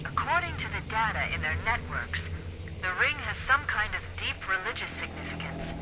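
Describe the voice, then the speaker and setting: An actress playing a composed, quick-witted female military artificial intelligence in a video game, precise and articulate. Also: medium pitch, very animated, background noise, natural voice. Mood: composed, briefing. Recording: loud music behind the voice.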